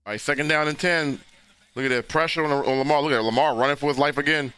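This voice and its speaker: A man, hoarse and losing his voice, hosting a casual live football reaction stream and talking loosely with frequent restarts. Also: a little high, steady tone, fast, bright, forceful tone, no echo, faint music behind. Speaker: livestream host reacting to a football game.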